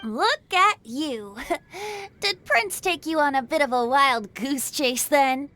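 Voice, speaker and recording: High-voiced, theatrical young bard. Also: female voice, lively intonation, takes it slowly, pushed and bright, a little hiss or hum, exaggerated voice. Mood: playful. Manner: flowery, theatrical phrasing. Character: genial young traveling bard, theatrical, playful and courteous. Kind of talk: animated game dub acting.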